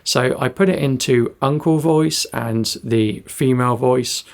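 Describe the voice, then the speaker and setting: A man's voice: mid-range voice, measured pace, vocal fry. A British man giving a casual spoken product review of a gadget.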